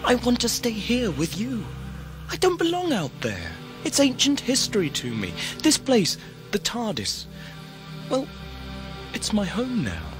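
An actor playing a stubborn, wounded, loyal travelling companion in an audio drama, emotional and defiant. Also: male voice, high-pitched, wildly swooping pitch, unhurried, music in the background, full character voice. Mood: pleading, defiant.

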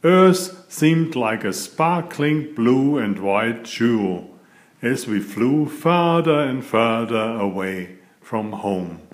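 Slow, lively male storybook narrator. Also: mid-range voice. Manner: deliberate, expressive storytelling for small children. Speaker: author reading his picture book aloud. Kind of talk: scripted children's storybook narration.

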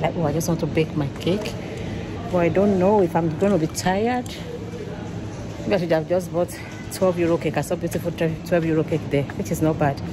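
A woman's voice: on the low side, noisy background. A woman of fifty chatting casually to her phone camera as a vlogger, thinking aloud and laughing easily. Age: 50s. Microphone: phone at arm's length.

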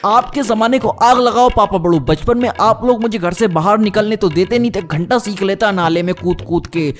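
A man's voice: sits high, moderate pace, natural voice. A man voicing a brash, lazy cartoon son who talks back crudely and whines. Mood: cocky. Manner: crude, cheeky backtalk.

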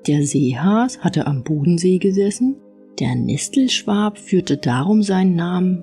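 Female audiobook narrator for fairy tales, animated delivery, warm tone. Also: deep voice. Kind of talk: expressive fairy-tale storytelling.

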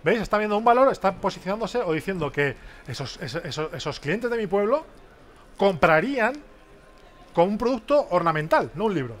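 A man's voice: sits high, brisk, slight background noise. A man in his thirties giving an interactive business workshop on a stage in a large exhibition hall, speaking into a headset mic and often quizzing the audience. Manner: lively, questioning the audience, many tag questions.

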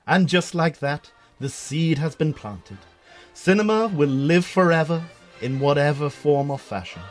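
A man's voice: fairly high pitch, animated, unhurried, faint music behind. A man narrating a scripted radio drama in a theatrical storytelling manner.

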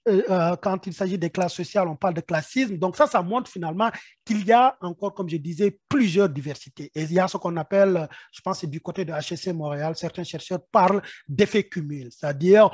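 High-pitched man stammering through a webinar. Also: darkish tone, breathy edge, dry recording. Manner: many repeated stammered words, thinking aloud. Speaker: project officer leading a workshop. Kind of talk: webinar presentation. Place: indoor room. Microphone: video call webcam.

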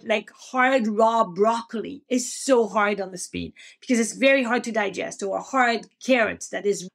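A woman's voice: medium pitch, gravelly. A middle-aged woman with a French accent, hosting a podcast and explaining things simply and casually, often checking in with the listener. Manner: friendly, explains simply, asks listeners "make sense?". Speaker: podcast host and acupuncture teacher. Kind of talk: casual teaching monologue.

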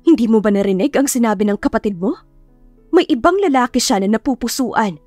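A woman's voice: fairly high pitch, lively intonation, a little fast, darkish tone, dry recording, faint music behind. Woman playing stern, guilt-tripping mother. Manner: firm, reproachful, pressing. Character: stern, guilt-tripping middle-aged mother, coldly persuasive. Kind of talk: audiobook character acting.

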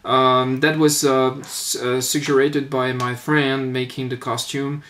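Male hobbyist presenter, medium pitch, halting informal demo, noisy workbench recording. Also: flat delivery, a bit bright. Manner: hesitant, halting delivery. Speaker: electronics hobbyist presenting own board. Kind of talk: informal product demonstration. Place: indoors at a workbench. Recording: noisy webcam-style audio with clicks.

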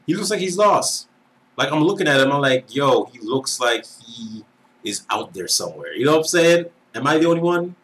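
A man's voice: medium pitch, big pitch swings, normal speed, fairly bright tone, low-quality recording. A man in his thirties with an Antiguan accent, chatting casually through a reaction video at his desk, laughing and asking viewers if they agree. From Antigua and Barbuda. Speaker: reaction creator. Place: small room indoors.

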